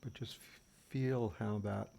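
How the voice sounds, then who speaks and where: Low, very creaky man guiding meditation. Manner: gentle, unhurried, with long pauses. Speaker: meditation teacher. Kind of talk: guided meditation, unscripted. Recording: steady background noise of fans and birds. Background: fans, birdsong and insects.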